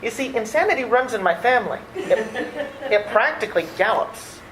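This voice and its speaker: Exasperated, high-voiced young critic woman acting. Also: animated, brisk, natural voice. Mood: flustered, frantic. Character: exasperated, sardonic young male theatre critic. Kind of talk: old-time radio play acting. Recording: echoey room, faint noise.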